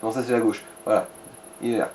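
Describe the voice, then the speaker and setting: A man's voice: fairly low pitch, flat delivery, quick pace, a little mellow, slight background noise. Casual male gamer with crude slang. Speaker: co-player giving directions and advice. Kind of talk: casual gaming chat, commentary.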